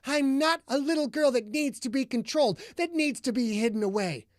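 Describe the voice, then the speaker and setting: A woman narrator voicing a volatile, bitter young woman who shouts in high, clipped bursts. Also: big pitch swings, measured pace, no echo, clean studio sound. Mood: furious.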